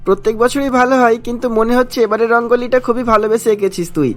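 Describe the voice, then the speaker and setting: A man voicing a warm, jolly young brother in a cartoon, affectionate and hearty, with music behind. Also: very high voice, steady tone, very fast. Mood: affectionate, cheerful. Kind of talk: cartoon story acting.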